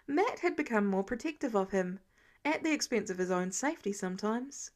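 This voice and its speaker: A woman reading fiction aloud in an expressive audiobook narration style. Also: medium pitch, fairly quick. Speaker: fan fiction reader.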